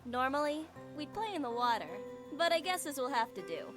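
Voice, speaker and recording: A woman's voice: high-pitched, sing-song, brisk, fairly bright tone, faint music behind. An actress playing a reserved, slightly prickly young woman in a visual novel game. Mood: wistful.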